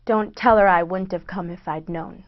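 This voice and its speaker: A woman's voice: fairly low pitch, steady tone, normal speed, close to an everyday voice. Actress as a worried woman, muffled lo-fi sound. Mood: gentle, worried. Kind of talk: stage play reading. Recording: dull lo-fi recording.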